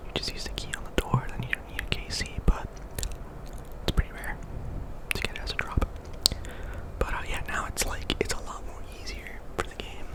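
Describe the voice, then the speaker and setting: Guy whispering asmr gaming commentary, chatty and rambly, super casual. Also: poor audio. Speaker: video game streamer and host.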